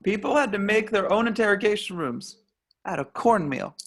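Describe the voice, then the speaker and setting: A woman's voice: medium pitch, animated, moderate pace. A woman playing a wry, sarcastic young stoner man in a film script.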